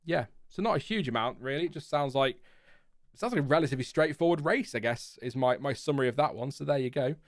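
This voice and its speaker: A man's voice: mid-range voice, lively intonation, fast talker, no room sound, clean studio sound. A British man hosting a casual race reaction, thinking aloud and rambling with many fillers. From Britain. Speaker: host.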